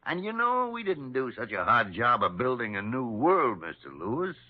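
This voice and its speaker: An actor playing a crusty, folksy older doctor in a 1950s radio drama, arguing and philosophizing with gruff wryness. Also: male voice, mid-range voice, sing-song, normal speed, slight background noise, close to an everyday voice. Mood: argumentative, reflective. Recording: dull lo-fi 1950s radio recording.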